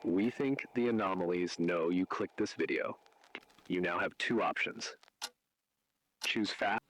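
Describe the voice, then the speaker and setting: A man playing an ominous agent who narrates an interactive horror warning in grave, deliberate, foreboding second-person script. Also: low-pitched, a bit bright, poor audio.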